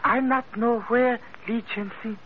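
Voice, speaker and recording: Woman acting a wary, high-voiced Chinese woman, old radio. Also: steady tone, unhurried, natural voice. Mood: guarded. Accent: put-on Chinese. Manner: clipped broken English, terse. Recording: muffled, noisy old radio recording.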